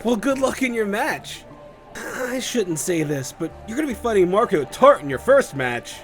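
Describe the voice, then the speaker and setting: A man voicing a cheeky, showy tournament announcer in an animated fiction, hyping fights to the crowd as a chatty showman. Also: high voice, normal speed, soft background music, exaggerated voice. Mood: friendly. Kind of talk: theatrical arena fight commentary.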